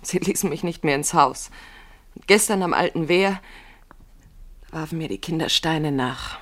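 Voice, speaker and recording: Wounded, anxious village seamstress. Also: female voice, deep voice, fairly bright tone. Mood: hurt, anxious. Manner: halting, wounded, earnest. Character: hurt, shunned village seamstress, proud but anxious. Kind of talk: German radio play acting.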